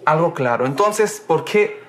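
A man with a high, light voice leads a relaxed Bible study from home. He talks conversationally, restates each point twice, and keeps checking in with his listeners with a quick "¿ah?". He drops an "amén" between his thoughts. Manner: repeats phrases, punctuates with "amén" and "¿ah?". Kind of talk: casual Bible study livestream. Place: home in Canada.

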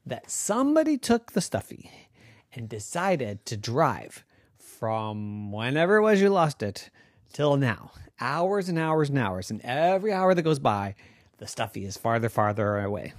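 Woman voicing a sleepy, exasperated storybook girl, hushed, lively pitch, close dry sound. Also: unhurried, no echo, exaggerated voice. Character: sleepy young girl hushing a caller.

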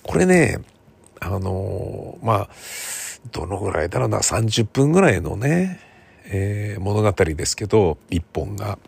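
A man talking casually on his own, thinking aloud in a rambling, self-correcting way with a gravelly, husky voice. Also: on the low side, big pitch swings, measured pace, darkish tone. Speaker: radio drama writer and theatre playwright.

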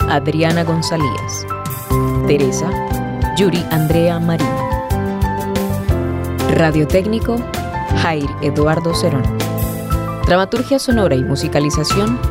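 A woman's voice: deep voice, measured pace, long pauses, over loud music. A woman reading credits in a scripted announcer style.

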